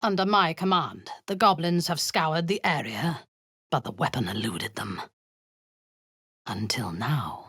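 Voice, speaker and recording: A woman's voice: deep voice, measured pace, fairly bright tone, husky, no echo, studio quality, heavily acted. An actress voicing a cruel, zealous female warlord in a video game, giving orders in a cold, commanding tone with hissed half-whispers. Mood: menacing.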